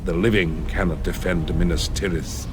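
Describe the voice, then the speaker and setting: An actor playing a grave, wise old wizard, narrating war plans in a solemn, portentous way. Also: male voice, low voice, fairly even intonation, moderate pace, background noise, close to an everyday voice. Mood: grave, foreboding. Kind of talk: dramatic scripted narration. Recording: orchestral music behind the voice.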